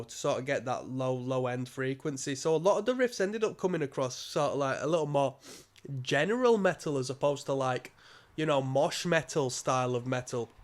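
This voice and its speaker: A man with a cold, giving a rambling casual album review full of ums and restarts. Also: fairly high pitch. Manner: rambling, many ums and restarts, occasional coughs. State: stuffy nose from a cold. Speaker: music reviewer.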